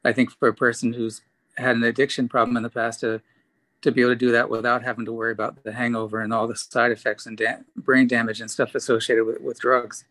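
A man's voice: mid-range voice, little pitch movement, fast talker, a bit bright. A man hosting a podcast, talking casually with plenty of fillers. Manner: casual, many fillers like "you know". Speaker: podcast host. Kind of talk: podcast interview.

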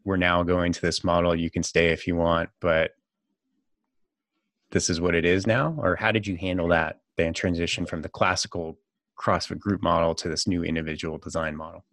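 A man's voice: sits low, flat delivery, moderate pace, fairly bright tone, no echo, studio quality. A man hosting a podcast, encouraging his guests and asking long, framed questions. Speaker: podcast host. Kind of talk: interviewing guests.

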